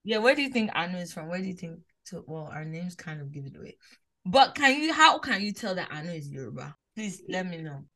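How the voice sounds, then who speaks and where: A young woman from Nigeria co-hosting a podcast, talking animatedly in a casual chat, with quick swings in pitch and loudness. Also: very animated. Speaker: podcast co-host.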